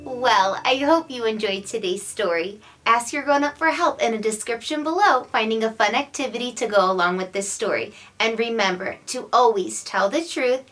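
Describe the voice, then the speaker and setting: A woman hosts story time for little children in a fairly high voice. Her delivery is expressive and sing-song, with clearly formed words. Faint music plays behind her. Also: normal speed, hardly any pauses. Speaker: children's story reader and show host. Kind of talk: expressive read-aloud for young children.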